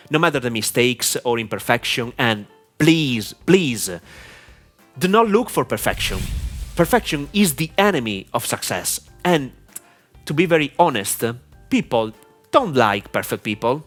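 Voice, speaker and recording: Lively Italian-accented man teaching. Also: medium pitch, soft background music. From Italy. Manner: deliberate, clear teaching delivery with frequent "uh" fillers. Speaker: online marketing mentor. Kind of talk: instructional talk to camera.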